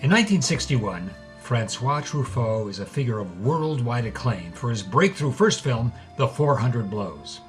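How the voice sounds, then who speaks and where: A man's voice: medium pitch, lively intonation, measured pace, few pauses, faint music behind, lightly acted. An actor playing an informative male narrator, reading scripted documentary background.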